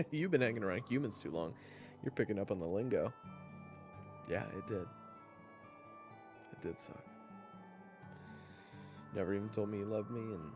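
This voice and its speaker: Man acting an expressive, gravelly young-hearted traveler. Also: lowish voice. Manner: expressive, casual, candid. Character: earnest human traveler narrating his fantasy journey, awestruck, candid. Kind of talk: audio roleplay acting with first-person narration.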